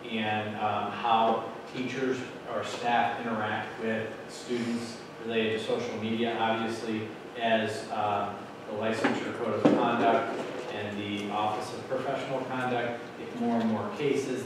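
A middle-aged man talks a school board through policy changes in a casual, explanatory way with plenty of fillers. His voice has a flat, even pitch. It is picked up from far across a very echoey meeting room, with a steady hiss of noise behind it. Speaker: school administrator presenting to a board. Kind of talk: meeting presentation. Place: large meeting room with a projection screen. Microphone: room mic from far off, standing at a podium. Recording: very echoey room, distant mic, noisy.

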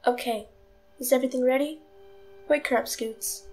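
Actress as young pony ringleader, commanding, high-pitched, audio drama. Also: female voice, steady tone, normal speed, mellow, soft background music, close to an everyday voice. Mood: cool, commanding. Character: young filly, bossy ringleader of pony captors. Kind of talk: fan audio drama acting.

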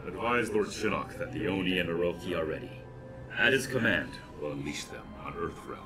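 An actor playing a grim undead warlord in a video game, stern and commanding. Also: male voice, sits low, lively intonation, a little fast. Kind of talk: dramatic video game acting. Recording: dramatic music loud behind the voice.

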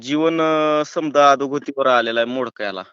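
A man's voice: mid-range voice, normal speed, fairly bright tone, clear, resonant tone. A man with a rural Maharashtrian accent in a casual phone chat, agreeing and putting in short remarks and questions. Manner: agreeable, brief replies and questions. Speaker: listener on a phone call. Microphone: phone call, close and dry. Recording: dull lo-fi phone call recording.

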